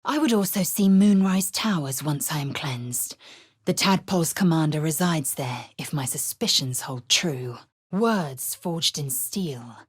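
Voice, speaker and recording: A woman voicing a proud, harsh, disdainful female alien warrior in a video game, speaking in a clipped, formal, imperious way with a husky rasp. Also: low voice, lively intonation, a little slow, exaggerated voice. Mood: solemn. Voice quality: husky, raspy. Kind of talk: video game character voice lines.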